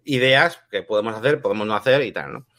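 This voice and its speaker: A man's voice: on the low side, fast talker, fairly bright tone. Co-host, male, rambling questioner, casual and chatty. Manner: rambling, loose questions full of fillers. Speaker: podcast co-host. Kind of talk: casual interview.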